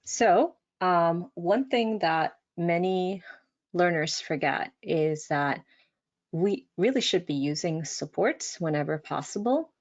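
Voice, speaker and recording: A woman's voice: fairly low pitch, lively intonation, slow pace. Woman teaching a webinar, dull audio. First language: Spanish. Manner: casual, encouraging, many fillers. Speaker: language instructor. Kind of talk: webinar presentation.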